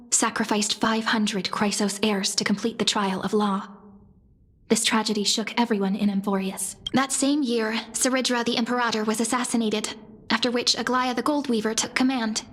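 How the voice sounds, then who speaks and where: A woman voicing a gentle, resolute young heroine in a fantasy game, telling a legend in a solemn, measured way. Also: a little high, steady tone. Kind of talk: scripted fantasy game narration. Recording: music clearly behind.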